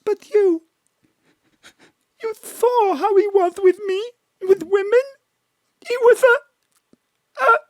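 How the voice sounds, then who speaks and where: A very high, tearful young woman. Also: slow, long pauses, dry recording. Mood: wistful. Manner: pleading, breathless confession. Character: young woman, emotional, yearning for her father's love. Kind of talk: audio drama acting.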